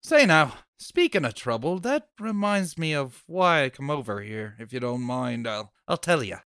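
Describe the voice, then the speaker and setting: A man's voice: medium pitch, sing-song, moderate pace, fairly bright tone, smooth, dry recording, studio quality. A man playing a nosy, chatty, stubborn off-duty policeman neighbor in a table read of an old radio horror play, with folksy, blustery speech.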